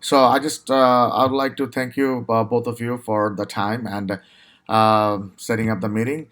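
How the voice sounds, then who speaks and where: A man's voice: medium pitch, level tone, normal speed, a bit bright. A young man from Bangladesh hosting a casual interview over a video call, talking with many fillers and restarts. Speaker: podcast host.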